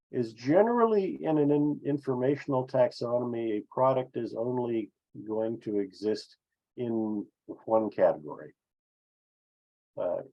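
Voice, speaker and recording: A man presenting an explanatory business webinar with slides, speaking haltingly with frequent filled pauses. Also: medium pitch, warm tone. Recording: dull, lo-fi webinar audio.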